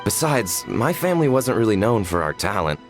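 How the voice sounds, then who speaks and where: Earnest, animated tribesman. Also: lowish voice, a little fast, over music. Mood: unsure. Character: modest, earnest tribesman proud of family stories. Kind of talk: video game voice acting.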